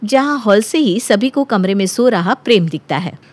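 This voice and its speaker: A woman narrating a scripted animated story as its storyteller. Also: mid-range voice, normal speed, no echo.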